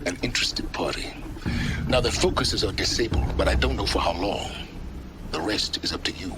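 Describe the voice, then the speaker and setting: Low, mysterious male informant played by an actor. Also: very noisy, natural voice. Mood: calm, secretive. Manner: formal, measured, cryptic. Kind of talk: video game character acting. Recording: music clearly behind voice.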